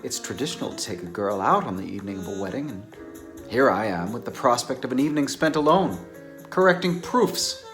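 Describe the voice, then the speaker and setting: A man performs a reserved scholarly bachelor in a novel dramatization. His pitch moves freely as he muses in formal, slightly hesitant phrases, with a wistful edge. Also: expressive, brisk, a bit bright, soft background music. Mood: wistful, put out. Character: Rupert, reserved, bookish anthropologist, a wistful bachelor.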